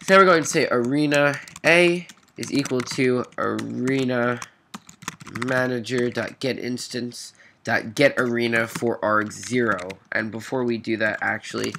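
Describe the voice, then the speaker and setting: A bright-voiced man hosts a coding walkthrough, sounding nasal and congested with a cold. He talks it through haltingly, correcting himself along the way. There is faint noise behind him, with keyboard typing and clicks. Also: medium pitch, steady tone, vocal fry. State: stuffy nose from a cold. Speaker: programming tutorial host. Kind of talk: informal coding walkthrough, thinking aloud. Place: at a computer, screen recording voice-over. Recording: noisy mic with keyboard clicks.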